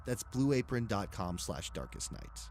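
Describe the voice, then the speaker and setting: A man's voice: lowish voice, very animated, normal speed, gravelly, faint music behind. A man hosting a podcast, chatting casually and reading a sponsor message. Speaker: podcast host. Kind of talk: casual chat and ad read.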